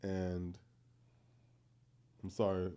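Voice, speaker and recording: Boston guy in his 30s telling a story on a podcast, joking, goes up loud when he teases. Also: deep voice, extremely slow, smooth. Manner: playful, animated storytelling, teasing. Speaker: podcast co-host. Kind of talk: casual chat.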